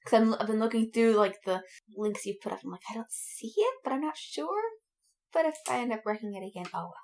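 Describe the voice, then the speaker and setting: A woman with a high-pitched voice talks as a podcast co-host in casual fan chat. Her intonation swings widely, and she reacts in an emotive, exclamatory way. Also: lively intonation, brisk, darkish tone, a little hiss or hum.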